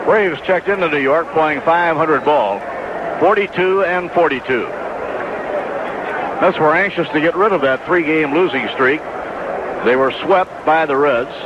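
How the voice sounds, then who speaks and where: A man with a fairly high voice gives live baseball play-by-play. The sound is a muffled old AM radio broadcast with clear noise behind him. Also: measured pace. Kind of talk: live radio sports commentary. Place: stadium broadcast booth. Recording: noisy, dull 1980s AM radio broadcast recording.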